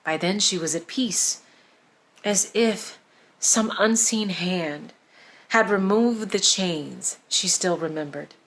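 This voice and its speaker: A woman reading a scripted children's story aloud as narrator, expressive and deliberate, with emphasis on key words. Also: on the low side. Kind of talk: scripted read-aloud narration of a saint's life.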